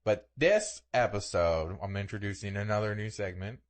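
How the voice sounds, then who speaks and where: A man's voice: lowish voice, normal speed. A man co-hosting a podcast in casual, comedic chat, thinking aloud a bit hesitantly as he goes. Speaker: podcast co-host.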